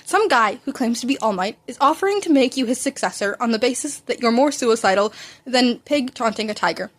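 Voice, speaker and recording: Woman voicing a brash, high-pitched teenage boy rival. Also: lively intonation, a little fast, fairly bright tone. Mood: angry, disbelieving. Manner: blunt, scornful, insulting. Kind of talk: fan fiction audiobook character voice.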